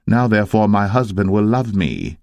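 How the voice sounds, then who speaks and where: Devout, sorrowing biblical wife, voiced by a male reader: solemn, measured, archaic phrasing, dry sound. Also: lowish voice, very animated, a little mellow, no room sound. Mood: wounded hope. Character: Leah, unloved young wife, sorrowful yet devout. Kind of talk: dramatised scripture reading.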